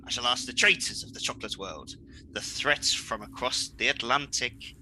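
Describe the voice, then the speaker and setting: A man's voice: mid-range voice, moderate pace, bright, forceful tone, a little hiss or hum, rough recording. A man playing a pompous, gleeful, scheming supervillain in a comedic radio play, mocking and melodramatic.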